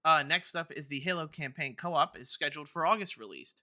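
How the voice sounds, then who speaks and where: A man's voice: fairly high pitch, expressive, brisk, bright, forceful tone, no echo, studio quality. A man hosting a podcast, talking casually through gaming news in a rambling way, often stammering and restarting. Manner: rambling, stammering with repeated false starts. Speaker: podcast host.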